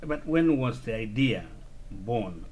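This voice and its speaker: Halting, formal male interviewer. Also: medium pitch, lively intonation, a little mellow, slight background noise. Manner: formal, halting, frequent restarts. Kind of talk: interview questions.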